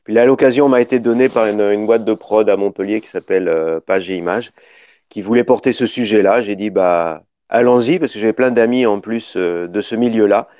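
A man's voice: lowish voice, a little fast, a little mellow, no echo. A middle-aged man from Paris, a documentary filmmaker being interviewed on radio, who explains at length and often restarts his sentences. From Paris, northern France. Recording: dull, dry studio sound.

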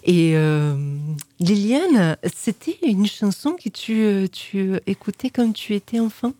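A woman's voice: mid-range voice, expressive, slow, dark tone. A woman hosting a live radio show, interviewing guests in a conversational way. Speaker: radio host.

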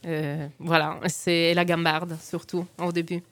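A woman's voice: on the low side, little pitch movement, unhurried, a bit bright, no room sound. A woman from Italy with an Italian accent and Sicilian mother tongue, answering interview questions conversationally and searching for her words. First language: Sicilian dialect. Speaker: singer and writer, interview guest.

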